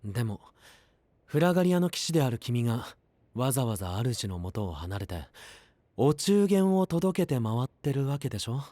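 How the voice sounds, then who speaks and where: A man playing a friendly but shrewd, composed young knight in an anime voice drama, speaking softly and politely. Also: medium pitch, big pitch swings, normal speed, no room sound. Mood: probing. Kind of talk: Japanese anime voice drama acting.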